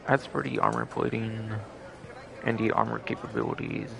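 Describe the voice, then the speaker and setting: A man's voice: lowish voice, steady tone, moderate pace, vocal fry, crowd noise. A man hosting a game livestream with casual, rambling, repetitive commentary.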